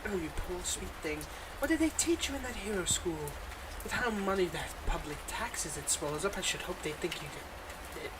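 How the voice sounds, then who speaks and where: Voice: mid-range voice, expressive, normal speed, heavy background noise, lightly acted. A performer voicing a smug, playfully exasperated supervillain in a scripted ASMR audio roleplay, mocking and dramatic. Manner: mocking, dramatic, addresses an unheard hero listener.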